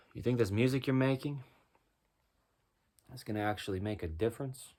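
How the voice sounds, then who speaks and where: A man with a deep voice doing a rhyming spoken-word freestyle, musing and preaching as he goes. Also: moderate pace, a little mellow. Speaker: freestyle musician.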